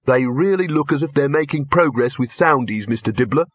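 A man's voice: medium pitch, lively intonation, a little fast, slightly rough, no room sound, voice pushed up. A man voicing a meek young man who speaks timidly.

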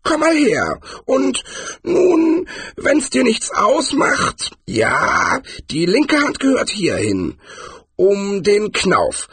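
A man voicing an elderly, spry barbarian hero in a storybook, slow and condescendingly kindly, with a creaky voice. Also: high-pitched, huge pitch swings, fairly bright tone, dry recording, pitched above the performer's own voice, full character voice. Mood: mockingly helpful. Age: older. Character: greise, spry old barbarian hero, calm and mockingly friendly.

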